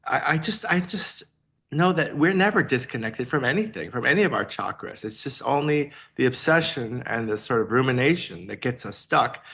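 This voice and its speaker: Male podcast host, middle pitch, casual and enthusiastic, quick to laugh. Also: animated. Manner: warm, enthusiastic, laughs often. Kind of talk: casual interview chat.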